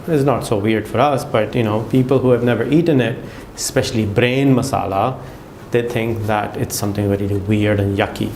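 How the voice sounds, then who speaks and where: A man's voice: on the low side, normal speed, darkish tone, echoey room, slight background noise. A man from Pakistan with a Pakistani accent, talking casually to camera with lots of fillers and restarts. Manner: chatty, many fillers and restarts. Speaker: vlogger.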